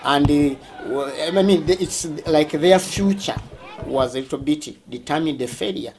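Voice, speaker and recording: A man with a Ugandan accent speaking as a school representative, explaining things during a tour in a halting, deliberate way, often rephrasing. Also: a little high, a little mellow, slight background noise.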